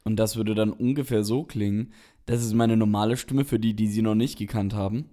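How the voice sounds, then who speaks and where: A man's voice: on the low side, steady tone, fairly quick, mellow. A young man co-hosting a casual podcast, joking around in a playful, silly way. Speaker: podcast co-host. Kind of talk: casual chat.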